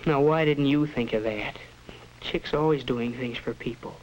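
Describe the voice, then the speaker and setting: A man's voice: mid-range voice, normal speed, close to an everyday voice. A young man playing a shy, self-doubting American teenage newcomer in a 1940s educational film, earnest and brooding as if thinking aloud. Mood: musing. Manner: earnest, brooding, talking to himself. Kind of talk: 1940s educational film acting, with inner-monologue narration. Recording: noisy restored 1940s film soundtrack.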